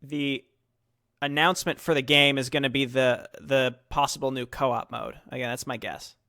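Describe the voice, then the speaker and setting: A man's voice: medium pitch, fairly even intonation, normal speed, sharp tone, no echo, clean studio sound. A man hosting a casual gaming chat with a co-op partner, chatty and laughing often. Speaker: host.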